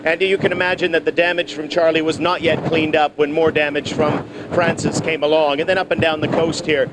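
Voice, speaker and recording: A man's voice: a little high, level tone, a little fast. A man giving a live, unscripted news report from outdoors, explaining things as he goes and correcting himself. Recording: outdoor location report with clear noise.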